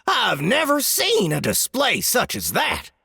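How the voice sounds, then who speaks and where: A man playing a boastful, hearty dwarf smith in a fantasy audiobook, with a booming, gravelly voice and a smug manner. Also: wildly swooping pitch, measured pace, pushed and bright, dry recording, studio quality, heavily acted. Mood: amazed, amused. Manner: smug, bragging. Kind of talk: dramatized fantasy audiobook acting.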